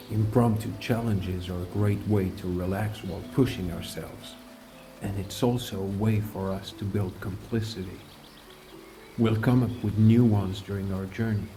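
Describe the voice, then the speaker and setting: A man playing a calm, caring fantasy adventurer and mentor, narrating his journey in a measured, earnest first person for an audiobook. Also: fairly low pitch, lightly acted. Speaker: narrator and lead character.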